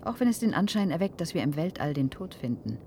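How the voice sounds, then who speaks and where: Otherworldly detached woman, very low pitch, solemn, measured, radio drama, faint noise behind. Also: big pitch swings, a little mellow. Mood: cold, resolute. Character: detached, alien-possessed woman leaving Earth, scornful of emotion. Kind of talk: German radio play acting.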